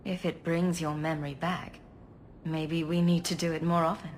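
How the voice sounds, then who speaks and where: A woman's voice: deep voice, level tone, moderate pace, darkish tone, a little hiss or hum, close to an everyday voice. A woman playing a concerned, direct companion and lover in a dramatic video game, with a questioning manner. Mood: reassuring.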